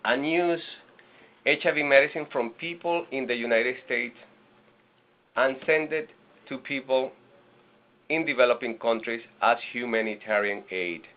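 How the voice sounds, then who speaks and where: A man from Venezuela, a nonprofit director, presenting a webinar with halting restarts and fillers. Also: mid-range voice. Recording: lo-fi webinar audio.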